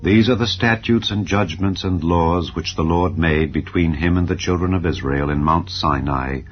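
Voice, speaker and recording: A man reading scripture aloud in a slow, solemn and measured way, with soft music behind him. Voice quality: deep, measured and grave. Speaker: scripture reader. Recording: dull audio with soft music. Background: soft ambient music.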